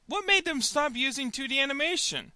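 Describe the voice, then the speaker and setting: A man's voice: extremely high pitch, animated, brisk, bright, forceful tone, no room sound. A man hosting a web review show, giving scripted comedic commentary in an emphatic, mock-indignant manner.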